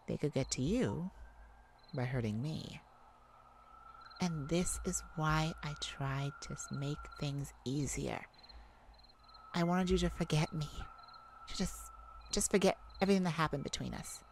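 A woman voicing a tender, wounded, lovesick vampire in an intimate ASMR roleplay, speaking haltingly and with emotion. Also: sits low, slight background noise. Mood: wary, sombre. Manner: hesitant, emotional, halting with sighs and pauses.

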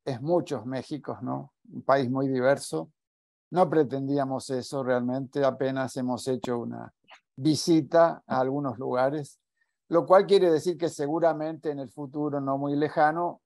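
A man in his sixties giving measured, reflective closing remarks on a video call through a headset, letting his phrases trail off softly. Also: fairly high pitch, dry recording, clean studio sound. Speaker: academic network coordinator. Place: small indoor room at home or office.

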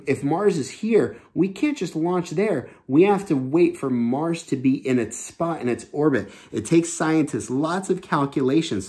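A man's voice: on the high side, a little mellow. A man in his thirties reading a children's book aloud and explaining it simply and expressively as a teacher. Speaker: children's storytime teacher and host. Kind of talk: storybook read-aloud with explanations for kids. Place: small indoor home room.

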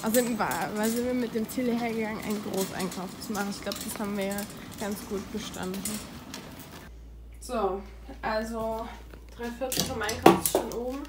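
A woman's voice: a little high, moderate pace. Young woman vlogging in her kitchen, chatty and rambly, phone mic, pretty noisy. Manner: chatty, rambling, many fillers. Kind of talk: casual chat to camera. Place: home kitchen and a garage. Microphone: phone camera built-in mic.

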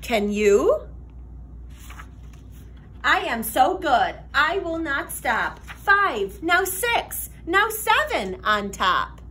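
A young woman reads a picture book to children in a high voice with big swings in pitch. Her delivery is slow, sing-song and expressive, like a teacher's. There is faint noise from the room behind her. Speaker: teacher reading aloud to children. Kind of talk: animated children's picture-book read-aloud. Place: classroom, indoors.

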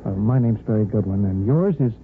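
An actor playing a flirtatious, eager suitor on vacation in a 1950s romantic radio serial, suave and flattering. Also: male voice, lowish voice, a little fast, narrow, tinny audio, close to an everyday voice. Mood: playful recognition. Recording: noisy, phone-thin 1950s radio recording.

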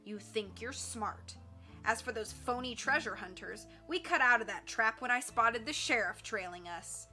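A man's voice: high voice, moderate pace, pushed and bright, faint music behind. A woman voicing an angry, domineering male gang boss who barks and sneers sarcastically in an audiobook. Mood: gloating. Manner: barking, sarcastic.

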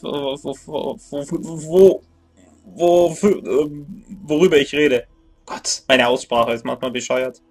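A man's voice: medium pitch, slightly rough. A young man in his twenties casually commenting on a strategy game as he plays, thinking aloud, grumbling and correcting himself. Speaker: let's play gamer. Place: small room indoors at a computer.

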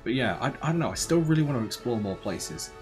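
A young man giving casual, slangy reaction commentary, musing aloud in a laid-back, tired, low-energy way. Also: big pitch swings, quick pace, darkish tone, soft background music. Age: young adult. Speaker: anime reaction host. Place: small bedroom, indoors.

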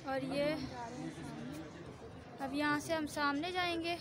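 High, slow-paced young woman giving a walking tour on a phone camera, crowd and wind-like noise around. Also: steady tone. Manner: chatty, keeps adding "theek hai" while showing around. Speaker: vlogger. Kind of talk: casual walking tour narration. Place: outdoors at a large mosque courtyard. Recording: outdoor phone recording with crowd and ambient noise.

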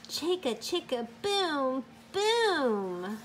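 Very slow-paced woman reading a picture book aloud. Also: high voice, big pitch swings, slight background noise. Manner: slow, deliberate, sing-song with emphatic exclamations. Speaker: teacher reading aloud to children.

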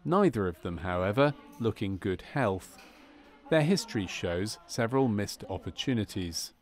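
A man reading scripted narration for a medical training film in a measured, formal manner. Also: on the low side, animated.

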